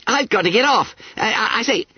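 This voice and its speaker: A man voicing a fat, greedy schoolboy who squeaks, stammers and babbles in self-pity. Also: animated, a little fast, husky, no echo, highs cut off. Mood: pleading.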